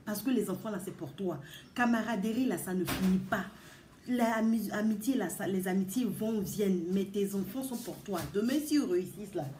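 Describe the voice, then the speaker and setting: A woman's voice: mid-range voice, normal speed, warm tone, roomy sound, a little hiss or hum. A woman vlogger giving casual advice to mothers, talking earnestly and emphatically, repeating words and addressing her listeners directly. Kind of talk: casual advice talk to viewers.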